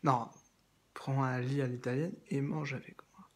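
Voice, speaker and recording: A man's voice: mid-range voice, fairly even intonation, a little slow, slightly rough. A man talking straight to one absent person in a long, meandering monologue, repeating himself and restarting often. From France. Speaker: video blogger addressing someone. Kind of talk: rambling direct-address monologue.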